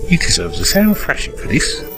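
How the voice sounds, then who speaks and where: A man's voice: a little high, wildly swooping pitch, a little fast, over music. A man playing a lazy, grumbling, blustering lifeboat skipper in a comic radio melodrama.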